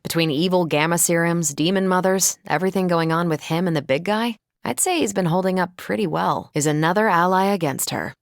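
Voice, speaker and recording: A woman's voice: lowish voice, a little fast, vocal fry, no echo, clean studio sound. A woman voicing a confident, wisecracking superhero soldier in a video game, with a brash but friendly, wry and casual manner.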